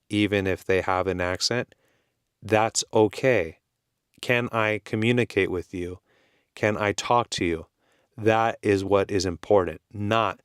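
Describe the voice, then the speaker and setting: A man with a Californian accent, explaining things slowly and in plain words as an English teacher, repeating his key points. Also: fairly low pitch, clear, resonant tone, no echo, clean studio sound. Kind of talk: slow, simple explanation for learners.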